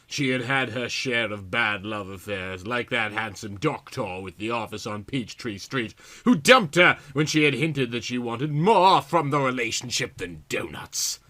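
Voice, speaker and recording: A man's voice: mid-range voice, lively intonation, measured pace, hardly any pauses, pushed and bright. A man reading a story aloud in a theatrical, exaggerated, melodramatic comic style. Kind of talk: melodramatic comic audiobook narration.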